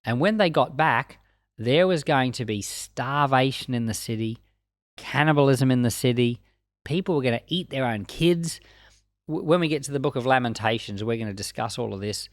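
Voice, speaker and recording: A man's voice: medium pitch, no room sound, studio quality. A man teaching the Bible in an informal, conversational way, using colloquial phrasing and acting out little asides as he comments.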